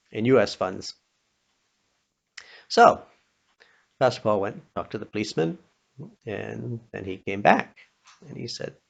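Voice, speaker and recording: A man telling a story informally, acting out the dialogue, with a halting, pausing delivery and a creaky voice. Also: medium pitch. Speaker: storyteller, pastor. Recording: dull, muffled lo-fi recording.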